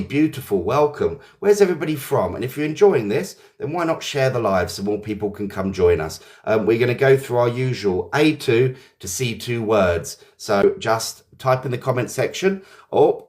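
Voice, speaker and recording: An English man runs a live-streamed English class. His voice sits slightly low, and he stays cheerful and chatty, greeting viewers one by one. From England. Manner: upbeat, greets viewers by name. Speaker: English teacher.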